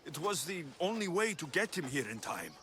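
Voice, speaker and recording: An actor playing a brash, impatient young Greek mercenary in a dramatic video game, speaking in a blunt, commanding way. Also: male voice, fairly high pitch, very animated, moderate pace, sharp tone, slight background noise. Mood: urgent, blunt.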